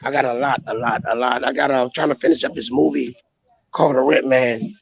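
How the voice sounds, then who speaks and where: Hoarse, gravelly comedian on a lo-fi call. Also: male voice, mid-range voice, steady tone, fairly quick, no room sound. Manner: brash, crude teasing banter. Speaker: guest comedian. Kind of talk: casual podcast chat.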